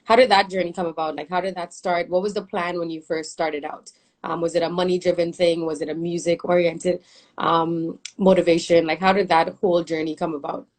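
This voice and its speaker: A woman with a lowish voice hosts a casual interview. She is chatty and animated, laughs easily, and keeps the conversation moving with follow-up questions. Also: fairly quick. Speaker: interview host.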